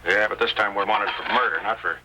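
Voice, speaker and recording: An actor playing a nervous, slow-witted outlaw sidekick in an old Western radio drama, talking in anxious cowboy slang. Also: male voice, fairly low pitch, fairly even intonation, lightly acted. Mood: worried. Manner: anxious, colloquial cowboy talk. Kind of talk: 1930s-40s Western radio acting. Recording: faintly noisy old-time radio recording.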